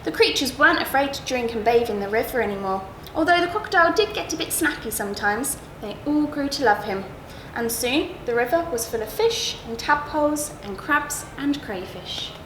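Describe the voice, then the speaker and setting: A woman narrating a children's bedtime story in an expressive, deliberate storytelling manner with stressed words. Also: high voice. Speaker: story reader at a school. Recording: roomy echo, clear background noise.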